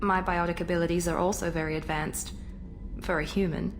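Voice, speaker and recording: A woman's voice: fairly low pitch, steady tone, a little fast, soft background music, lightly acted. A woman voicing a supremely self-assured operative in a video game, businesslike, formal and matter-of-fact. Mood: confident, businesslike. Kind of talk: video game dialogue acting.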